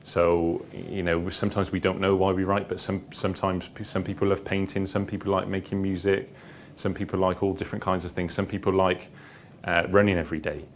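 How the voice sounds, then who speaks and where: A man's voice: flat delivery, fairly quick. A man giving an informal seminar talk, hesitant and repetitive as he circles back through his phrases. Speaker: seminar speaker, publisher and founder. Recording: clear background noise with low rumble.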